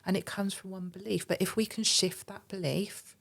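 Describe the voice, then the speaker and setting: A woman's voice: mid-range voice, steady tone, normal speed, vocal fry, a bit bright. A woman guest on a casual podcast conversation, talking earnestly and emphatically, often repeating words as she starts a thought. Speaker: podcast guest, marketing agency director.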